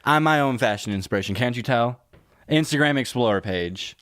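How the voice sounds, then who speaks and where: A man's voice: medium pitch, animated, fairly quick, a bit bright, no echo. A young man co-hosting a podcast, chatting casually in slang with plenty of "like". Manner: slangy talk with frequent "like". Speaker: podcast host.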